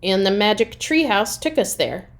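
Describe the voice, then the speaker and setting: Storybook young girl, played by a woman reader: eager, adventurous, matter-of-fact, pitched a little up. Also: very animated, normal speed, fairly bright tone.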